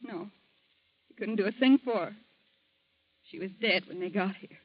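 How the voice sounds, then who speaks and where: An actress playing an anxious, loyal young wife in a 1940s radio drama, pleading in a fretful, breathless way. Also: female voice, mid-range voice, normal speed, close to an everyday voice. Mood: frantic. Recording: dull old radio recording.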